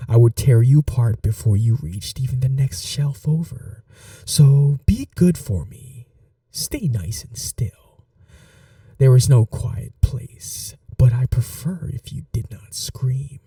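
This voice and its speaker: A man voicing an ancient, cunning male vampire lord in a whispered ASMR roleplay, courtly and seductive, with a husky, half-whispered voice. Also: mid-range voice, mellow, dry recording, clean studio sound, distant mic. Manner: courtly, slow and seductive, turning menacing.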